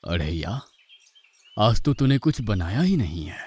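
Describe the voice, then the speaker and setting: A man plays a patient, indulgent god walking among people in a cartoon tale. His voice is fairly low. He instructs and coaxes gently, like a kindly elder. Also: sing-song, a little slow, husky, heavily acted. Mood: patient, instructing. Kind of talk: Hindi cartoon mythological acting.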